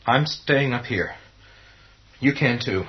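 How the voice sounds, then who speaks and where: An actor playing an earnest young man, little more than a kid, in a horror radio drama, with a husky voice. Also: mid-range voice, lively intonation, slow, muffled recording.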